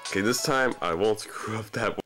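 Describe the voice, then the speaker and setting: A man's voice: lowish voice, brisk. A young man giving casual, off-the-cuff video game commentary in a joking, self-deprecating manner. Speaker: let's play commentator. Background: video game music.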